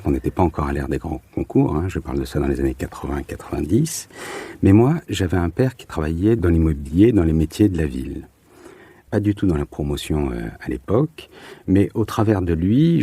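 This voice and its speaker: A man's voice: sits low, warm tone, husky, no room sound. A man in his forties from southern France answering interview questions conversationally, searching for words and repeating them for emphasis. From Marseille, southern France. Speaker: architect, interview guest.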